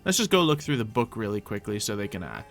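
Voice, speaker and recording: A man's voice: fairly low pitch, big pitch swings, fast, a bit bright, soft background music. A man giving casual, improvised gameplay commentary in character, chatting to himself and thinking aloud as he goes. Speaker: gaming video host playing in character.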